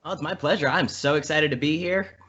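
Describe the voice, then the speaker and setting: A man's voice: a little high, brisk, bright, forceful tone, slightly rough, highs cut off. A man from Indiana, talking casually and enthusiastically as a podcast guest. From Indiana, American Midwest. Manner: enthusiastic, informal. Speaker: podcast guest, audio drama creator and voice actor. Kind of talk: casual conversational storytelling.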